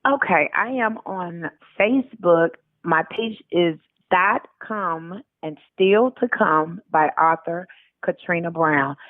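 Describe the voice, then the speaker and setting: A woman's voice: fairly low pitch, takes it slowly, no room sound. A woman talking casually and candidly as an interview guest over the phone, blunt and colloquial in the way she puts things. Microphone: telephone call. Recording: narrow tinny phone line.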